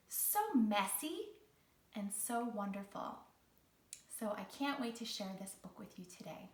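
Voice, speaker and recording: A woman speaking as a children's read-along host and teaching artist, in an encouraging, theatrical storytelling manner with carefully phrased lines. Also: a little high. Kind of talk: guided exercise and storytelling for young children. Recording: roomy echo, distant from the mic.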